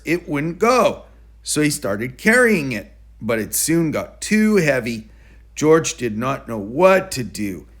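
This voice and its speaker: A man reading a children's story aloud in an expressive, deliberate storytelling delivery. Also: medium pitch. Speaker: storybook reader.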